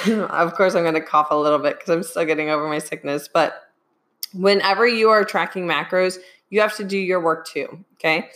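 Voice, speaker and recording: Hoarse woman, coach asking "okay?". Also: on the low side, steady tone, moderate pace, a bit bright. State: hoarse, getting over a sinus infection. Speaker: fitness coach. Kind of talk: live-streamed advice talk.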